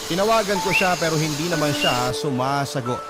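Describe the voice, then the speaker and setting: A man's voice: on the high side, expressive, fairly quick. A man narrating a dramatic first-person story as a radio storyteller. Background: loud music.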